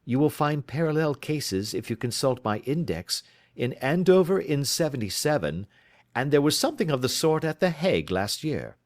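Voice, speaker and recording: Man reading as a brisk, assured detective, lively emphatic delivery, storybook reading. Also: fairly high pitch, dry recording, studio quality, voice pushed up. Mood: musing. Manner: crisp, authoritative, reassuring. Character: brisk, self-assured Victorian male detective.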